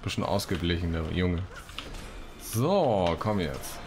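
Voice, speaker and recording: Ein Mann kommentiert locker und scherzhaft sein Spiel, murmelt vor sich hin und liest Quests vor. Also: male voice, deep voice, animated, slow. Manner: joking, muttering to himself. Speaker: Let's Play host. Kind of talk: casual gaming commentary with read-out quests. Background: game music.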